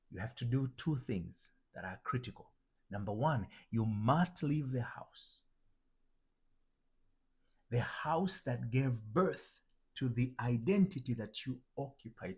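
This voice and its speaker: Man, from Tanzania, motivational coach, husky voice, animated pitch, reflective teaching monologue. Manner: reflective, repeats and restarts phrases while building a point. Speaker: identity transformation coach.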